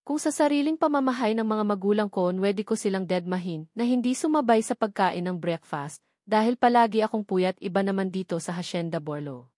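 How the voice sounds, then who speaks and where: A Filipino woman reads a romance story as an audiobook narrator. Her voice sits at an average female pitch. The sound is dry and close, with very little room. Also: expressive, fairly quick, darkish tone, no echo. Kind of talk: scripted first-person romance novel narration.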